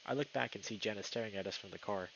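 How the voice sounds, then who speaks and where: A man's voice: on the low side, fast talker, creaky, a bit bright, highs cut off, a little hiss or hum. A man live streaming a game, chatting casually and reading the story text aloud, sometimes tripping over words. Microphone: streaming mic with noise filtering.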